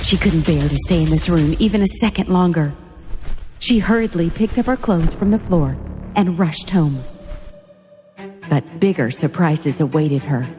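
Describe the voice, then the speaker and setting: Mellow woman narrating a dramatic story. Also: sits low, unhurried, faint music behind. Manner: suspenseful, dramatic phrasing.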